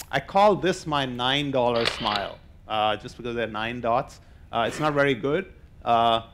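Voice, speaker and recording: A man's voice: mid-range voice, a bit bright. An older man giving an informal explanatory lecture, talking conversationally and laying things out step by step. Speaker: mathematician and novelist giving a public lecture. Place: indoor lecture hall, on stage.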